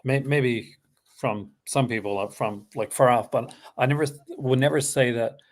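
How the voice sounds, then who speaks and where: A man with a Canadian accent talks loosely and casually on a live stream. His voice has a gravelly, creaky edge. He wanders through his thoughts with plenty of fillers and restarts. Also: mid-range voice, normal speed. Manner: rambling, many fillers and restarts. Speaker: host. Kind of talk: casual chat. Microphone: streaming call.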